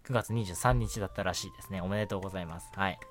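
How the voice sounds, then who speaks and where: A man's voice: sits low, rapid-fire. A man hosting a casual solo radio show, talking in a chatty, rambling way and often interrupting himself. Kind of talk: casual solo radio chat about anime.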